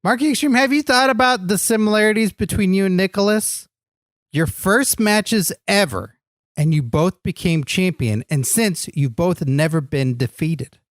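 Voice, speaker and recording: A man's voice: high voice, animated, moderate pace, no echo, clean studio sound. A man playing a naive, earnest wrestler sidekick on a comedy podcast, making absurd points with a straight face. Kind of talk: improvised comedy podcast chat.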